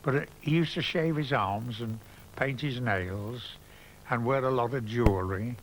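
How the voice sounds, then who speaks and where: A man's voice: medium pitch, normal speed, slight background noise, low-quality recording. A man fondly reminiscing about pantomime dames in an interview, emphatic in his praise. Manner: warm, fond, emphatic. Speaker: interviewee, theatre insider.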